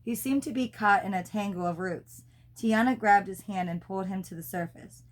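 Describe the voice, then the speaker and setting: A woman's voice: mid-range voice, moderate pace. A woman reading a children's storybook aloud expressively, as a mother does with her child.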